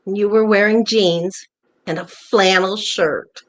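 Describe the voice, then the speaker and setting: A woman acting the part of a wounded but affectionate partner in a play reading, in a plain, measured manner. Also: mid-range voice, steady tone, close to an everyday voice. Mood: fond, amused. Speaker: actor in a staged reading. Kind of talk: naturalistic stage play reading. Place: remote virtual reading over video call.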